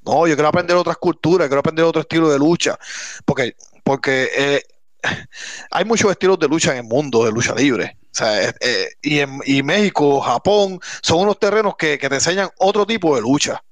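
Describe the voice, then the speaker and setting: A man's voice: fairly high pitch, a little fast, dry recording. A Cuban-American man, a wrestler, talking in a casual interview and often repeating words as he goes. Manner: animated, stumbles and repeats words. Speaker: professional wrestler.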